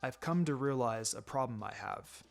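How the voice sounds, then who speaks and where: A man acts out a guarded, brooding boy ninja from an audiobook story. The voice is pitched low and held quiet, with a terse, reluctant, clenched delivery that sounds easily irritated. Music is clearly audible underneath. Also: moderate pace, natural voice. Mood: reluctant, troubled. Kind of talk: fan fiction audiobook character acting.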